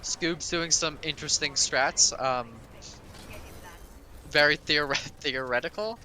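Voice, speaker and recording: A man's voice: a little high, slow pace, bright, forceful tone. A man co-commentating a live game speedrun with wry, jokey banter. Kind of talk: live casual gaming commentary.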